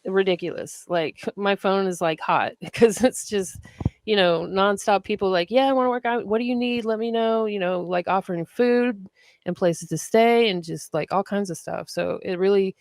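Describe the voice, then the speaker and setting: A podcast host with a gravelly, fry-laden voice asks questions in a chatty, upbeat way, sprinkling in plenty of fillers. The sound is dry and close, with little room reflection. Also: mid-range voice, moderate pace, dry recording. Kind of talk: casual podcast interview.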